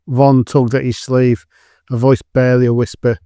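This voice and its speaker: A man's voice: medium pitch, level tone, normal speed, mellow, clean tone, dry recording. Man narrating an audio drama, dry studio sound.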